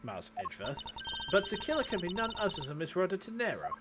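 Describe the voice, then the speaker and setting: A man's voice: sits high, huge pitch swings, fast. A man with a Yorkshire accent voicing a brash, cocky male agent over game music.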